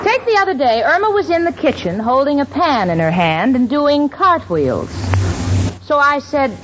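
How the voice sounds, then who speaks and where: A woman's voice: high voice, big pitch swings, moderate pace, a crowd in the background. An actress playing a sensible, wry young working woman who narrates and plays the exasperated roommate in a 1940s radio sitcom. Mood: wry storytelling. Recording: muffled noisy 1940s radio, studio audience. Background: studio audience.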